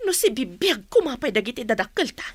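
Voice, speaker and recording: A woman's voice: on the high side, sing-song, a little fast, husky, dry recording. A woman with a Filipino Ilocano accent acting a grieving, bitter elder sister who blames her brother in a radio drama, accusing and tearful.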